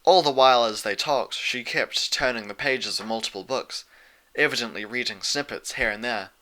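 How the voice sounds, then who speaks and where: A man's voice: mid-range voice, moderate pace, sharp tone. A man reading fan fiction aloud as scripted story narration.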